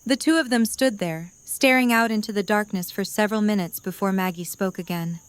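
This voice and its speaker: A woman reading the narration of an audio drama in an even storytelling manner. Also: medium pitch, brisk, clear, resonant tone, dry recording. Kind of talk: scripted narration.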